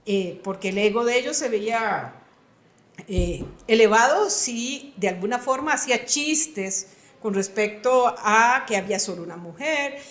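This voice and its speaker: A woman's voice: a little high, animated, unhurried, fairly bright tone, reverberant. A woman in her sixties with a Costa Rican accent, a retired computing professor answering interview questions in an earnest, emphatic way. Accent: Costa Rican Spanish. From Costa Rica.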